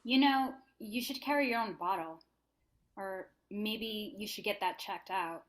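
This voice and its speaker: A sharp-tongued police detective in her forties read by a woman at a crime script reading. Her voice is medium in pitch, with a dry, jaded, scolding manner. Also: unhurried, a little hiss or hum, close to an everyday voice. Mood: dry concern.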